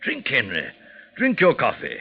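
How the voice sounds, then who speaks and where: Oily, florid schemer, swooping delivery. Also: male voice, mid-range voice, normal speed, creaky, husky, exaggerated voice. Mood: coaxing. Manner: florid, flattering, theatrical. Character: oily, effusive male French gambling-house schemer, falsely solicitous. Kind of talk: 1940s radio acting. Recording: muffled, noisy 1940s radio recording.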